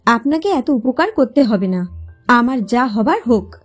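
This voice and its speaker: A woman's voice: a little high, sing-song, a little slow, breathy edge, soft background music, exaggerated voice. A woman voicing a proud, wounded young office worker in an audio short story, guarded and defensive in manner. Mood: irritated.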